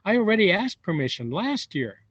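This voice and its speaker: Man putting on an impatient, high-pitched young granddaughter. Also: big pitch swings, a little fast, a bit bright, exaggerated voice. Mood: impatient, complaining. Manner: whiny, dismissive. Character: impatient, cheeky granddaughter in a children's storybook. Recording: roomy echo, dull lo-fi sound.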